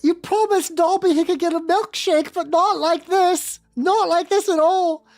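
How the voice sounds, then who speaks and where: A woman's voice: very high voice, fairly even intonation, a little slow, a little mellow, dry recording, studio quality, soft background music, voice pushed up. A woman voicing a meek, miserable male house elf who pleads and refers to himself in the third person, in a comic fanfiction reading. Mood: pleading, distressed.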